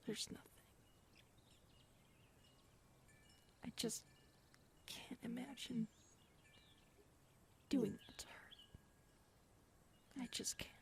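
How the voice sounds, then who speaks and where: A woman acting in an ASMR audio roleplay as a gentle, shaken specialist who confides haltingly and softly. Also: a little high, gravelly. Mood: grieving. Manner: soft-spoken, hesitant, halting.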